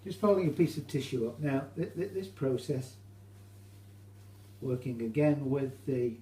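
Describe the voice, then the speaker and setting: A British man giving an informal, halting how-to demonstration, explaining each step as he goes. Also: mid-range voice, dark tone. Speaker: hobbyist demonstrator. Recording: roomy echo, faint hum.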